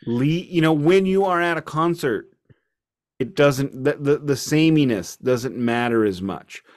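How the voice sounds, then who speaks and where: A man's voice: fairly high pitch, lively intonation, a little slow. A man co-hosting a music podcast, giving casual album reviews in an opinionated, emphatic, sometimes mock-formal way.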